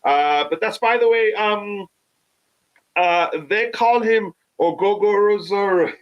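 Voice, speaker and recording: A man, hosting a live fan stream and reading viewer comments, halting with many fillers, his voice still touched by a cold. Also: high-pitched, highs cut off. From Nigeria. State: recovering from a cold. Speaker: fan channel host.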